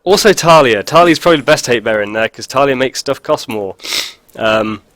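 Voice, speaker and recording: A man's voice: mid-range voice, lively intonation, brisk, a bit bright, no room sound. Sniffly man chatting with a cold. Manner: rambling, filler-heavy, dry jokes. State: stuffy with a cold. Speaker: video host. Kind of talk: casual gameplay commentary.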